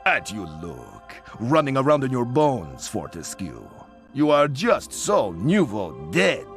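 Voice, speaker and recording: An actor playing a haughty, flamboyant aristocratic centaur prince in a video game, pompous and mocking. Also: male voice, mid-range voice, huge pitch swings, slow, creaky, heavily acted. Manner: pompous, mocking, inverted word order. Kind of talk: video game character acting. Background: music.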